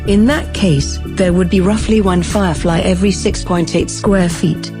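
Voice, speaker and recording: Woman doing a snooty bored immortal ai lady, low precise voice, music loud behind her. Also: normal speed, lightly acted. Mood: condescending. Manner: condescending, precise, unemotional. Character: haughty, bored immortal female artificial intelligence from the future. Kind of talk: scripted sci-fi comedy monologue and drama.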